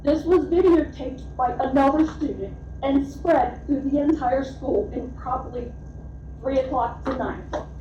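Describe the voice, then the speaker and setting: A woman in her thirties or forties addresses a school board during public comment, earnest and emphatic. Her voice sits high and mellow. The sound is distant, dull and roomy, with a faint hiss. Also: measured pace. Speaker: parent speaking at public comment. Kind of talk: prepared public statement at a meeting. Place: school board meeting room. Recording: distant, echoey, dull meeting-room sound.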